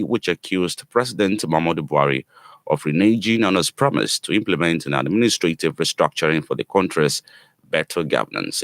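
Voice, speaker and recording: Deep-voiced male newsreader. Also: fairly bright tone, dry recording, studio quality. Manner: formal measured read. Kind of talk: radio newsreading.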